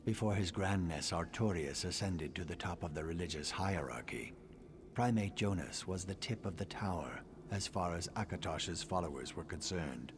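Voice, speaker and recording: An actor playing a grim, vengeful assassin in a fantasy game, speaking in a measured, menacing, formal way. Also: male voice, low-pitched, lively intonation, background noise. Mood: sardonic, grim. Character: grim vengeful male assassin of a dark brotherhood. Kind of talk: scripted fantasy game dialogue.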